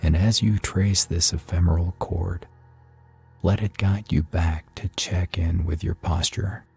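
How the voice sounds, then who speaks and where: A man guides a meditation in a very low, dark and relaxed voice over faint music. His delivery is soothing and even, unhurried, with long pauses left between phrases. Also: gravelly, breathy edge. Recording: soft music behind the voice.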